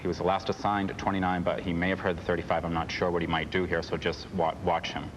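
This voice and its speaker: A man's voice: deep voice, little pitch movement, fast talker. A man recounting events in an interview, hesitant and earnest. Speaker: air traffic controller being interviewed. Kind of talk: interview recollection. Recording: clearly noisy interview audio.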